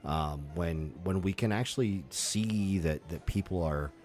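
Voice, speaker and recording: Very deep-voiced man, halting podcast chat. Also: a little mellow, faint music behind. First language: Taiwanese. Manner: halting, many restarts and fillers. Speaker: podcast co-host and festival performer.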